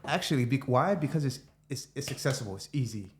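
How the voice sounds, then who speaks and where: A middle-aged man hosting a podcast in his home studio, talking in a relaxed, reflective way and thinking aloud with restarts. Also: mid-range voice, fast, slight background noise. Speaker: podcast host. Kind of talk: casual conversation.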